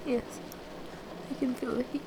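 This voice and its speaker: High-voiced pleading young woman, acted by a woman, in rain. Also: gravelly. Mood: shaky, subdued. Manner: pleading, halting, repeating herself. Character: distressed, pleading young woman, frightened yet apologetic. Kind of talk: intimate audio roleplay acting. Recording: steady rain noise over the voice.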